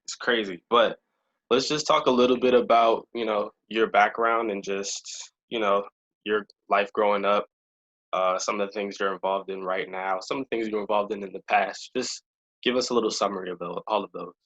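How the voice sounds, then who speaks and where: A man's voice: fairly low pitch, steady tone, normal speed, fairly bright tone, highs cut off. A young man hosting a casual interview podcast, upbeat and conversational, leaning on "you know" as he talks. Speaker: podcast host.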